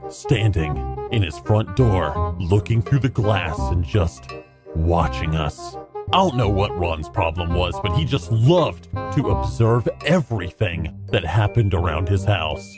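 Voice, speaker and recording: A man narrating short anecdotes in a casual, emphatic storytime style. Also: deep voice.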